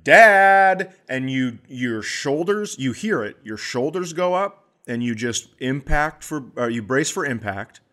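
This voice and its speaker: A man hosting a podcast, telling casual comic stories in a profane, animated way. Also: medium pitch, unhurried, hardly any pauses. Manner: profane, animated anecdotes with acted-out quotes. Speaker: podcast host, comedian.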